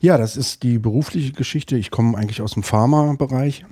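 A middle-aged man with a Berlin accent, guesting on a podcast and talking in a thoughtful, hesitant way with many pauses and restarts. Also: medium pitch, mellow, breathy edge, no room sound, clean studio sound. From West Berlin, Germany. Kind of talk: casual interview conversation.

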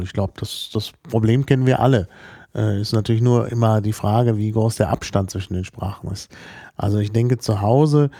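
A man from Hamburg being interviewed about languages, explaining slowly and thoughtfully, with many fillers and restarts. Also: on the low side, warm tone, dry recording, studio quality. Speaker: linguist guest. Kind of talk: relaxed spoken explanation in an interview.

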